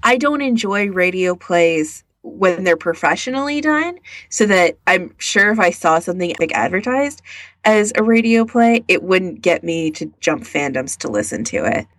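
A woman's voice: lowish voice, big pitch swings, normal speed, fairly bright tone, dry recording. A woman co-hosting a podcast in casual conversation, speaking informally and bluntly. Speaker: podcast co-host.

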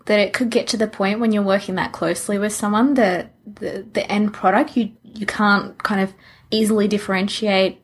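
A woman's voice: mid-range voice, steady tone, moderate pace, husky. A woman co-hosting a podcast in a casual, conversational style. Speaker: podcast co-host.

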